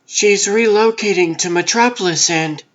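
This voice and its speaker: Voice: mid-range voice, moderate pace, dull audio, natural voice. A performer playing a wry, pushy woman newspaper reporter in a comic dub.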